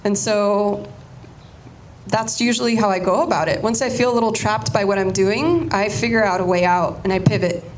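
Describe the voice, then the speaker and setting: A woman's voice: medium pitch, fairly even intonation, moderate pace, a little hiss or hum. A Cuban-American woman whose first language was Spanish, giving a casual artist talk and often restarting her sentences. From United States, Cuban-American family.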